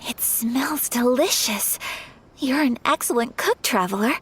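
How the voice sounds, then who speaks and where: A woman's voice: a little high, expressive, unhurried, a bit bright, husky, exaggerated voice. A woman voicing a gracious, polite young noblewoman who speaks formally. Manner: formal, courteous. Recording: game audio over music.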